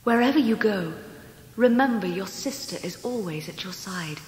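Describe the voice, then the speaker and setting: Radio drama role: steadfast, sisterly young woman for an actress, medium pitch, gentle, bathed in echo. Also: lively intonation, normal speed, lightly acted. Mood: earnest. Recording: long echoing reverb.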